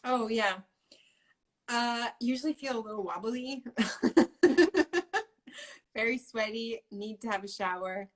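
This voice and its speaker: A woman's voice: on the high side, slow pace, pauses often. A woman guest in a casual interview, talking in a rambling way with frequent laughs. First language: English. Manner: rambling, many fillers and restarts, laughs often.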